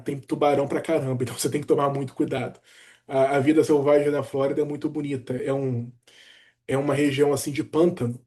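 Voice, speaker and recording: Chatty man with a mellow voice. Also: a little high. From Brazil. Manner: chatty, animated storytelling with fillers like "cara" and "né". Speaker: doctor sharing his career story. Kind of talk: casual personal vlog talk.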